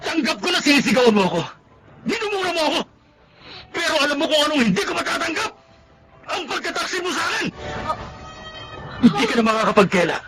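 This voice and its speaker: A man's voice: extremely high pitch, big pitch swings, fast talker, pauses often. A man playing a betrayed, hardworking husband in a melodrama, with a Filipino accent and an emphatic, accusing delivery. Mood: hurt, furious. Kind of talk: melodramatic radio-style drama acting. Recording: dramatic music behind the voice.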